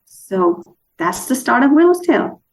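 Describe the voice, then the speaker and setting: Woman from denmark, gravelly voice, chatty guest telling a story, stops to hunt for words now and then. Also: sits high, very animated, a little slow. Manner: warm storytelling with restarts and searching for words. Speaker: guest author. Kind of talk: casual interview chat.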